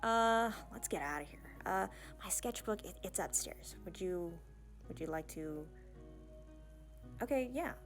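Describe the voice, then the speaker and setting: A woman's voice: mid-range voice, animated, measured pace, over music, close to an everyday voice. A woman playing an awkward, bashful young princess in a fantasy audio roleplay, hesitant and stammering, rambling in casual modern phrases. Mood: flustered, eager. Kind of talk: ASMR romantic fantasy audio roleplay, one-sided dialogue.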